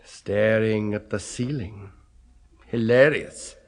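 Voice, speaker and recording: A dying philosopher in a 1970s radio chiller. He speaks very slowly and deliberately, with dry skepticism. A pompous pride in his own intellect colours his delivery. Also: fairly low pitch, a little mellow. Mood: dryly doubtful. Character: proud, skeptical, dying male philosophy scholar weighing a grim offer. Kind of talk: 1970s British radio horror play acting.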